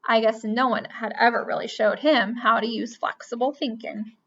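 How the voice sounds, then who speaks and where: A woman reading a children's picture book aloud with expressive storytelling. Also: fairly high pitch, normal speed. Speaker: reader. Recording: dull lo-fi recording.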